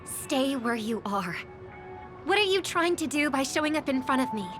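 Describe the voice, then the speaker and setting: A guarded young woman host, light-pitched and hushed, music behind. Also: sing-song, moderate pace. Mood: wary. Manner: tense, often hushed and whispered. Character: young woman festival host, wary and composed. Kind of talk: video game dub. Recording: game cutscene with music underneath.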